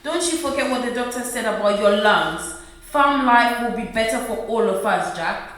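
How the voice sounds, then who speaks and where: A woman's voice: fairly high pitch, moderate pace, a bit bright, natural voice. A woman playing a worried, poor wife and mother in a radio play, earnest and reproachful. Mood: pleading. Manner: earnest, reproachful, repeating her husband's name. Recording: very echoey room.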